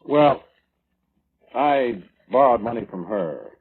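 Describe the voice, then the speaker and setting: A tinny, hissy vintage radio drama recording carries a weaselly brother giving testimony. His lowish voice swings widely as he whines, evades and justifies himself, halting and defensive. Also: very animated. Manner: defensive, whining, evasive, halting. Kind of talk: 1940s radio drama acting.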